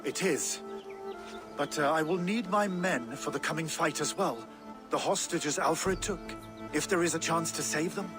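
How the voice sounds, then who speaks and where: Vengeful Viking warlord, played by a man, grandiose and hot-tempered, fairly high male voice, game cutscene with music behind. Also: unhurried, close to an everyday voice. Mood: brooding. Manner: archaic, grandiose, oath-laden speech. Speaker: actor in a video game. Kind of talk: dramatic game cutscene acting.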